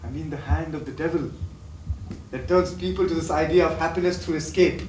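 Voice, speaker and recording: A man's voice: a little high, brisk, darkish tone, close to an everyday voice. A man acting a sick, tormented addict confessing lost love in an impassioned, poetic stage-play style. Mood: bitter, anguished. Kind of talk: theatrical stage play dialogue. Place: theatre stage, recorded from afar. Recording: distant, echoey, noisy stage recording.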